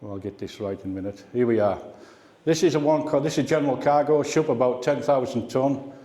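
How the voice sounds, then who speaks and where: A man's voice: medium pitch, fairly quick, mellow. Echoey older man giving an informal talk. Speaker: guest speaker at a radio club. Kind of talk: informal slide talk with personal reminiscence. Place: indoor club meeting room. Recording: echoey, reverberant meeting room.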